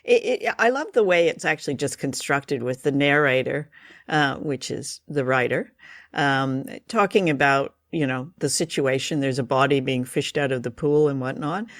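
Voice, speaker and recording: A woman's voice: rumbling low, moderate pace, dry recording, studio quality. A woman hosting a podcast, discussing things in a conversational review style. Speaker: podcast host.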